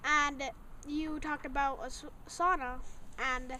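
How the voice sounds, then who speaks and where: A child being casually interviewed as a young guest reviewer, talking haltingly and searching for words. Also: high-pitched, flat delivery, pushed and bright, slight background noise.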